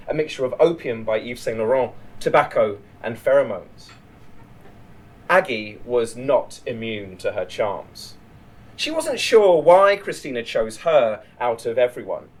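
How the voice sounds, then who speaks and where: A man narrating a written short story in a measured, literary read-aloud delivery. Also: mid-range voice, a little mellow, rough recording. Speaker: writer reading a short story.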